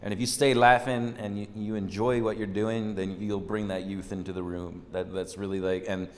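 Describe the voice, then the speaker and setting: A man's voice: lowish voice, little pitch movement, normal speed, big-room sound. A man in his thirties, a podcast guest, talking in a goofy, laid-back, joking way into a handheld mic. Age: 30s. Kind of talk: casual chat. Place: room with dark backdrop, seated in a folding chair.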